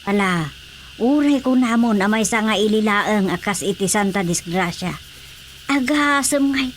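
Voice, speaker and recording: A woman's voice: mid-range voice, animated, measured pace, natural voice. A woman playing a fussy, questioning village mother in an Ilocano radio drama comedy, with some background noise. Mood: doubtful, questioning.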